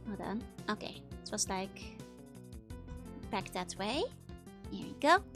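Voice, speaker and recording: A woman's voice: mid-range voice, animated, takes it slowly, music in the background. A young woman with a Dutch accent chatting casually while streaming a game, rambling with lots of fillers and giggles. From Netherlands. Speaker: VTuber streamer. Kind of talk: casual gameplay chat reading chat.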